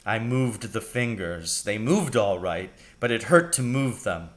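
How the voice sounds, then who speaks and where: A man reading a children's novel aloud as an expressive storybook narrator. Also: on the low side, a little slow.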